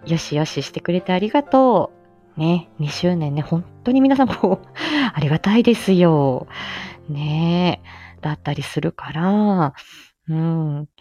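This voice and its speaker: Low-voiced woman chatting, muffled lo-fi sound. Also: animated, measured pace, warm tone. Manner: self-deprecating, laughs often while talking. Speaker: host of a live audio stream; amateur voice drama actor. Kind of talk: casual live chat, reminiscing and answering listeners. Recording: muffled lo-fi stream with background music. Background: background music.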